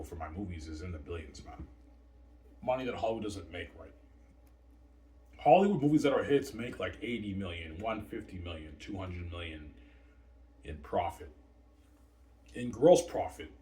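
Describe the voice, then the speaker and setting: A man's voice: deep voice, a little mellow. A man in his thirties rambling boastfully in a casual monologue, tagging "right?" and "obviously" onto his points. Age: 30s. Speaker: channel host. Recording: distant mic with faint noise.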